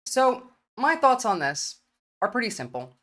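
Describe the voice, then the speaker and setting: A woman's voice: fairly high pitch, very animated, a little slow, fairly bright tone. A woman giving an earnest, argumentative opening statement in an online debate, citing statistics with some hesitations. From United States.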